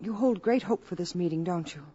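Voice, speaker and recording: A woman's voice: on the low side, brisk, mellow, slightly rough, lo-fi sound. An actress playing an earnest, anxious, principled American woman, pleading and urgent in 1950s radio drama style. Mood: hushed, worried. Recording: old radio recording, music underneath.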